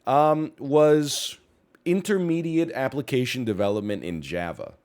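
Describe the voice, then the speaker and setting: A man's voice: mid-range voice, measured pace, a little mellow, clear, resonant tone. A young man from Canada chatting casually on a livestream, slightly congested, rambling and correcting himself with jokey asides. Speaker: streamer. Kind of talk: casual chat.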